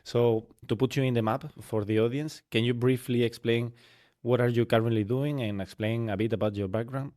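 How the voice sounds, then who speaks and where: A man's voice: medium pitch, steady tone, fairly quick, mellow. A man hosting a podcast, chatty, summing up what his guest said and asking long follow-up questions. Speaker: podcast host. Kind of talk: casual interview hosting.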